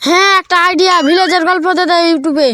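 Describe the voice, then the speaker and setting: A woman's voice: very high-pitched, steady tone, brisk, bright, forceful tone. A woman playing a cocky, reassuring young village nephew in a comedy skit, with music behind.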